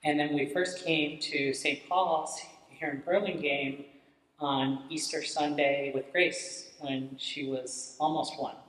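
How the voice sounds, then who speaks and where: Slow-talking middle-aged man in an echoey church. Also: on the high side, steady tone, fairly bright tone. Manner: slow, halting, thoughtful. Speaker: parishioner and father. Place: large church sanctuary. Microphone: handheld microphone.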